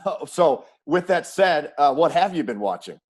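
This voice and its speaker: A man's voice: on the high side, animated, normal speed, a little mellow. A man hosting a casual sports interview, talking conversationally in long, winding sentences as he puts questions to his guest.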